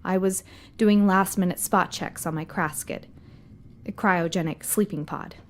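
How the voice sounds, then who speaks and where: A woman playing a matter-of-fact space freighter captain, giving a measured official report in a scripted audio drama. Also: fairly low pitch, steady tone, natural voice. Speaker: narrator of a fiction podcast. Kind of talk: scripted first-person audio drama narration.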